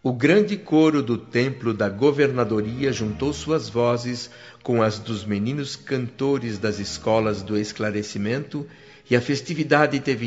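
A man narrating a radio play in the first person, with calm, descriptive storytelling. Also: medium pitch, close to an everyday voice. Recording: dull lo-fi radio play audio.

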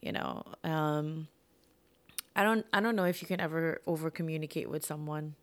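Woman born in the philippines, smooth clear voice, lowish pitch, thoughtful, laughs lightly. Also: moderate pace. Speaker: podcast co-host. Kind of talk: casual conversation with her husband.

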